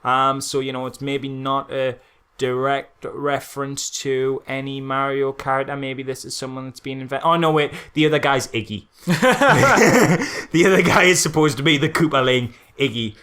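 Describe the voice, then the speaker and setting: A man's voice: medium pitch, normal speed, fairly bright tone. A man co-hosting a casual comedic film critique, rambling and incredulous as he talks. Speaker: podcast co-host.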